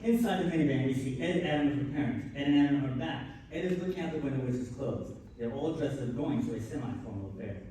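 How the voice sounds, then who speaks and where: A man's voice: medium pitch, fast talker, hardly any pauses, dark tone, recorded from a distance. A man narrating a live radio play, reading out scripted stage directions. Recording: echoey hall from afar, faint noise.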